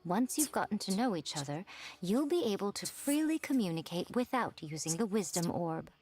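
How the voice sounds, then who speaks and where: A woman's voice: medium pitch, very animated, normal speed, very noisy, natural voice. An actress playing a poised, worldly and playfully teasing woman with genteel phrasing in an anime fantasy game. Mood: reassuring, thoughtful.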